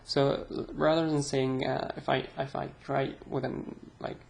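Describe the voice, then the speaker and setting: A man's voice: mid-range voice, flat delivery, creaky, slight background noise. A young man with an Arabic accent, a native Arabic speaker, giving a chatty, self-deprecating online pronunciation lesson. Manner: slow, chatty, self-deprecating, drawn-out sounds. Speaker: language teacher. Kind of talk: casual online language lesson.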